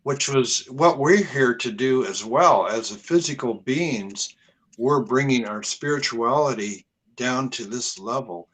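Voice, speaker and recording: A man's voice: medium pitch, expressive, measured pace, a bit bright, low-quality recording. A man as a guest in a casual spiritual conversation on a video call, talking earnestly and hesitantly with fillers.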